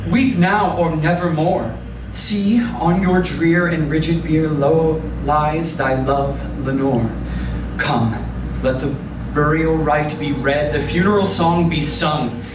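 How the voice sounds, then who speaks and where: A man recites poetry with theatrical, declamatory delivery. His voice sits a bit high for a man. The recording is roomy and set back from the mic, with clear noise. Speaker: performer of poetry. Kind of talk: dramatic poetry reading. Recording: roomy, distant, noisy recording.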